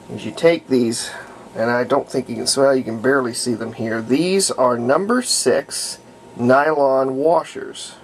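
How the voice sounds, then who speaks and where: Slow, gravelly man giving a hands-on tutorial. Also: mid-range voice, slight background noise. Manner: halting, pausing mid-sentence, explanatory. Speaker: hobbyist radio restorer demonstrating a repair. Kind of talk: casual hands-on tutorial talk.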